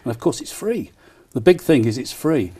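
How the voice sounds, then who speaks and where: Male interview guest, town crier type: soft-edged mellow tone, middling pitch, keen promoter with frequent ers. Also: sing-song, moderate pace, slightly rough. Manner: enthusiastic, frequent hesitant ers. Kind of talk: promotional broadcast interview answers.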